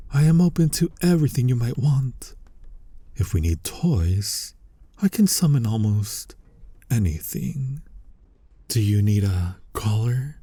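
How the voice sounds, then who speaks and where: A man playing a flirty, dominant incubus demon in an intimate ASMR roleplay, teasing the listener in a husky, breathy voice that often drops to a whisper. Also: deep voice, mellow, no echo, exaggerated voice. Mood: eager, flirty. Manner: slow, sultry, teasing, addressing listener directly. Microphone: close mic, dry.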